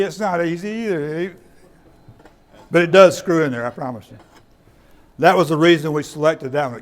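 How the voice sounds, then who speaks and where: Higher-voiced man giving an informal presentation. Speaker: presenter of radio kits at a club meeting. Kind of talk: informal presentation with audience questions. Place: indoor meeting room.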